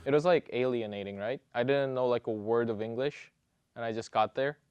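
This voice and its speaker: A man's voice: mid-range voice, fairly quick, clean tone, no room sound, lo-fi sound. A young man from South Korea answering interview questions with hesitant self-corrections.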